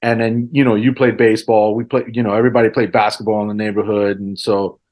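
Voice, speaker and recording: A man's voice: on the low side, level tone, quick pace, darkish tone. A man in his forties from Mexico, Spanish his first language, chatting casually as a guest and rambling with many fillers. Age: 40s. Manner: rambling, lots of fillers like "you know". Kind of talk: casual conversation.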